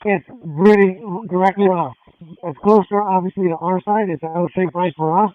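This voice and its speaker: A man working as a police tactical officer, giving clipped, procedural radio traffic with hesitant pauses over a tinny scanner. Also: high-pitched, fairly even intonation, dry recording.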